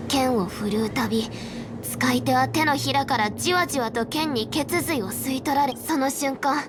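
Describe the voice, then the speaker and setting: A woman's voice: sits high, close to an everyday voice. A woman playing a headstrong, earnest young girl in a video game, speaking in an insistent, matter-of-fact way. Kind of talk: Japanese game voice acting.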